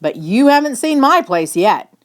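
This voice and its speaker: Woman as a storybook neighbour lady, adventurous, playful, curious, raised pitch, very animated. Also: normal speed.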